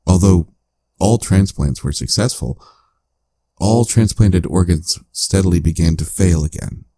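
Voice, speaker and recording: A man playing a calm, analytical AI companion in a cyberpunk audio drama, speaking in a measured, formal, clinical way. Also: sits low, no echo, studio quality. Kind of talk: scripted audio drama narration.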